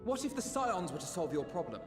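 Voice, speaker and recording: Young game diplomat: courteous, determined, lightly high-pitched, echoing. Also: male voice, very animated, fairly quick. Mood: earnest resolve. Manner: formal, courteous negotiating. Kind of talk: scripted video game voice acting. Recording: reverberant game audio with loud music.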